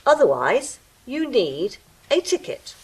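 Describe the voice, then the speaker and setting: A fussy gatekeeper creature played by a woman in an audio drama, high-voiced and unhurried. It is pompous and pedantic, lingering theatrically as its pitch swoops up and down. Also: big pitch swings, breathy edge, exaggerated voice. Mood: officious, warning. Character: fussy, officious ticket-eating gatekeeper creature. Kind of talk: stage-style audio drama acting.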